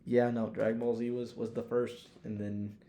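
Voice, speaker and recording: A man's voice: mid-range voice, level tone, normal speed, mellow, roomy sound, a little hiss or hum. A man co-hosting a podcast in casual, slangy, sweary chat, rambling along like an enthusiastic fan. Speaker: podcast co-host. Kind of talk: casual chat, profanity-laden.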